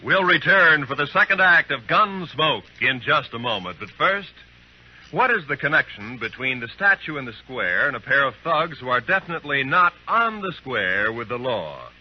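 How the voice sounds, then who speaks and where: A man's voice: mid-range voice, big pitch swings, normal speed. A man with an American accent, announcing a scripted promo as a 1950s radio announcer. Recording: muffled old radio recording.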